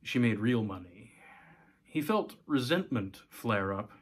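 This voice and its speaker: A man's voice: medium pitch, expressive, takes it slowly, slightly rough. Middle-aged man narrating a short story. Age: 40s. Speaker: story reader, host. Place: small room at home.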